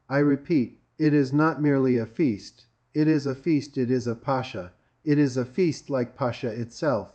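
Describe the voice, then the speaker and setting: A male preacher from Greece delivers a sermon with a voice of middling pitch. He presses his points by repeating them and asking question after question. The recording sounds dull and lo-fi. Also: a little mellow. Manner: emphatic, repetitive, with rhetorical questions.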